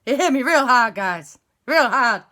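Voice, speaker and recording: A woman voicing a silly, dazed male ghost skeleton toy in a children's story, whiny and repetitive, high and loud. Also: lively intonation, a little slow.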